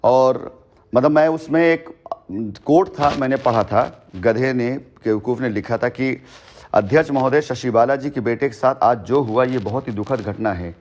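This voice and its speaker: A man's voice: mid-range voice, normal speed, darkish tone. A man on a recorded phone call, explaining at length in an emphatic, long-winded way and repeating his phrases for weight. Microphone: telephone.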